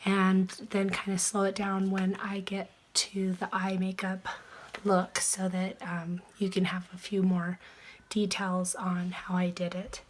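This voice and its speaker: A woman who is sick and losing her voice, chatting softly through a makeup tutorial, often dropping into a whisper. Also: medium pitch, completely flat delivery, a little slow, slight background noise. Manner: soft, chatty, trailing into whispers. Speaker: beauty vlogger.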